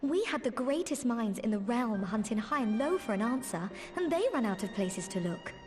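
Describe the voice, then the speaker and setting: Game role: excitable young woman female actor, high voice, lively swings, blunt and caring, fretful by temper. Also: normal speed, natural voice. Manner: informal, emotive, blunt. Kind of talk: video game cutscene acting. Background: music.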